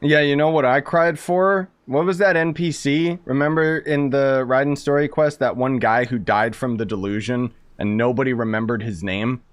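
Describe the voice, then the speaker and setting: A man's voice: medium pitch, normal speed, few pauses, smooth, no room sound. Man, gaming streamer, sarcastic, jokey, impatient, casual commentary. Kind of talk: casual commentary over a game.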